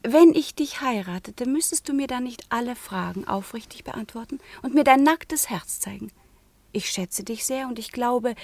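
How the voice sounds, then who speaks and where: A woman's voice: on the high side, a little mellow, no echo. A woman acting an earnest, proud young noblewoman in a radio play, questioning in a composed, direct way. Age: young adult.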